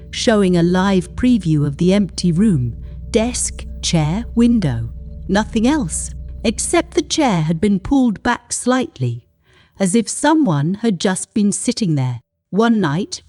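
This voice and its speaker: A woman reading a scripted horror story as narrator, in a measured, deliberate way. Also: mid-range voice, mellow.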